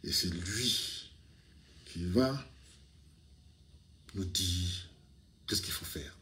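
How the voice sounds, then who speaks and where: A man's voice: low voice, animated, drawn-out words, bright, forceful tone, a little hiss or hum. A man from Cameroon giving his political opinion straight to the camera, outspoken, with many restarts and fillers. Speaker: video commentator. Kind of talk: casual political commentary to camera. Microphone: camera, speaking to it directly.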